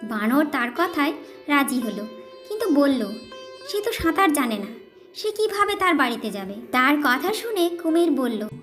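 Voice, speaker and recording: Female narrator for kids' cartoon fable, high voice, scripted storytelling over music. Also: very animated, moderate pace, a bit bright. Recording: music behind the voice.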